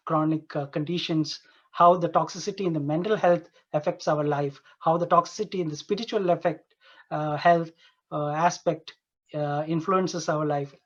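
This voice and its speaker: A man from Dubai giving an informal conference presentation as an Ayurveda doctor, earnest and halting, often repeating and rephrasing his phrases. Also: on the high side, a bit bright. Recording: dull, lo-fi online conference audio.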